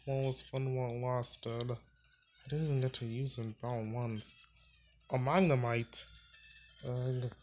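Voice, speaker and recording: Slow, mellow-voiced man playing a game. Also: mid-range voice, steady tone. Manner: thinking aloud, mutters, sighs. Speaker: gaming channel host. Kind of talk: casual let's-play commentary. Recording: faint game music behind.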